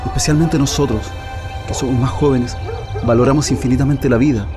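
An actor playing an idealistic, earnest young revolutionary in a radio drama. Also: male voice, mid-range voice, fairly even intonation, brisk, music in the background, natural voice. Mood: earnest, affectionate. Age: young adult.

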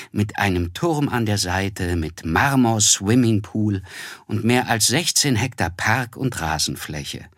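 An actor playing a reflective, polite young man who narrates a literary radio drama in a measured, descriptive way. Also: lowish voice, a bit bright, dry recording, studio quality. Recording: music under the voice.

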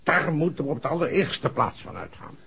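An actor playing an authoritative, impatient senior police chief in a 1960s Dutch radio play. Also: male voice, fairly high pitch, sing-song, a little fast, gravelly, fairly bright tone, slightly rough, heavily acted. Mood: exasperated. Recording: dull, muffled vintage radio recording.

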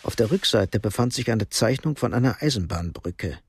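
A man narrating a radio crime drama in a measured, matter-of-fact way. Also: lowish voice, animated, vocal fry, slightly rough, heavily acted. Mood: sober. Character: sober third-person narrator of a crime story.

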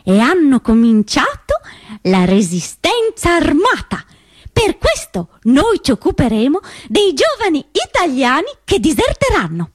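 A woman's voice: high voice, wildly swooping pitch, unhurried, no room sound, studio quality, heavily acted. A woman on stage playing a commanding partisan organiser who rouses young women, emphatic and conspiratorial. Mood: firm, instructing. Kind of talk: theatrical stage monologue.

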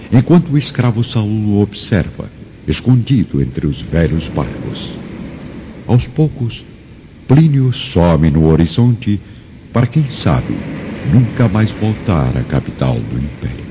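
A man's voice: extremely low pitch, lively intonation, measured pace, noisy background. A man giving solemn, formal, dramatic scripted narration as the narrator of a radio drama. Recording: dull sound with music bed.

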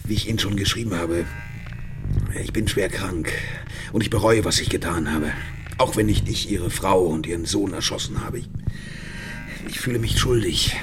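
An actor playing a sick, guilt-ridden convict in a radio drama, pleading haltingly. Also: male voice, low voice, background noise. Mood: pleading, ashamed.

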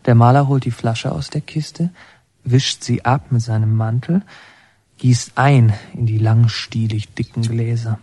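An actor playing a young man narrating his memories in a literary radio drama, measured and intimate. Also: mid-range voice, fairly even intonation, darkish tone, husky, dry recording. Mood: brooding, intimate. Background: music.

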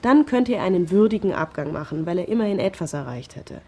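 An actress playing a sensible, sceptical but loyal young adult daughter, measured and firm, in a German radio drama. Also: female voice, fairly low pitch, lively intonation, warm tone, slightly rough. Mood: firm, exasperated.

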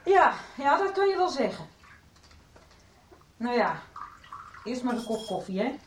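A woman playing a brisk, blunt, down-to-earth wife in a radio soap, chatty and dismissive, with noise in the recording. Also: on the high side, big pitch swings, reverberant. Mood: hospitable, casual. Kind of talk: 1990s Dutch radio soap acting. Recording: clearly audible background noise.

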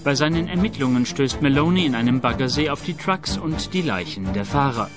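Casting: male announcer, mid-pitched, scripted episode intro and recap for radio drama, clear music bed. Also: animated, brisk.

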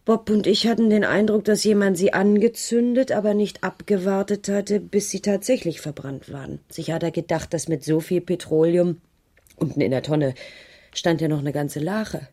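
An actress playing a troubled, earnest woman witness in a German radio crime drama, giving halting testimony with protective defiance. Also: fairly low pitch, warm tone, lightly acted. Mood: subdued, explaining.

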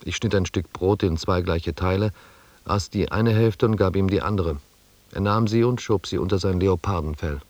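Expedition scribe, low male voice, dry sound, measured, restrained, melancholy, radio drama narration. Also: darkish tone, dry recording. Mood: sober narrating. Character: reflective male expedition scribe, former captive, quietly melancholy. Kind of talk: scripted first-person narration in a German radio drama.

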